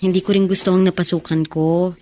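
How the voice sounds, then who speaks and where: An actress playing a dutiful young bar hostess and daughter in a Filipino radio drama, speaking gently and naturally. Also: female voice, fairly low pitch, flat delivery, a little fast, natural voice. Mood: guarded. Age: young adult.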